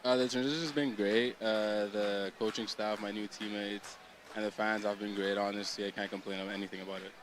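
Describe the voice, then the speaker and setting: A young male baseball player from Montreal, speaking softly and a bit hesitantly in a casual fieldside interview at an outdoor ballpark. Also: fairly low pitch, a little fast. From Montreal, Canada. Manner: relaxed, soft-spoken, hesitant with fillers. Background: crowd noise.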